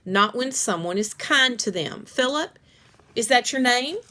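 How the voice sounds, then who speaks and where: A woman voicing a kind, calm storybook mother in a friendly, reassuring way. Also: fairly high pitch, measured pace, pitched above the performer's own voice. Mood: calm, firm.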